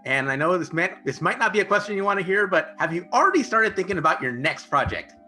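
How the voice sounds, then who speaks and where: A man's voice: high voice, sing-song, fast, pushed and bright. A man working as an interviewer, asking questions in a casual, conversational way. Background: background music.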